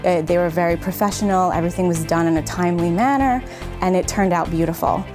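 A woman's voice: on the low side, fast. A woman in her thirties from the United States giving a casual customer testimonial. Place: indoors on a couch. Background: music.

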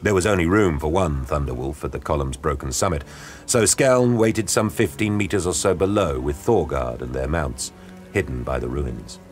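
Very low male voice, grave dramatic narration, measured delivery, music underneath. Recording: studio narration over music.

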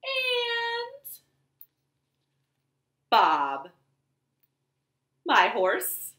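A young woman reads aloud to small children as a storytime host. Her voice is bright and forceful, with big melodic swings and an unhurried pace. It sounds like a home living room with noticeable echo. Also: extremely high pitch. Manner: animated, slow deliberate delivery for young children. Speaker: library storytime host and reader.

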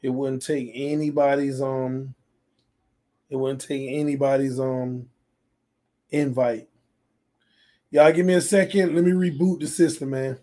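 A man's voice: medium pitch, little pitch movement, measured pace, long pauses. Man streaming with a strained, fading voice. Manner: talks quietly to spare his voice, reads chat aloud. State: losing his voice. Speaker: sports channel host. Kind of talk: casual livestream chat while gaming. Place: small home room full of fan decor. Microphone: desk mic on stand.

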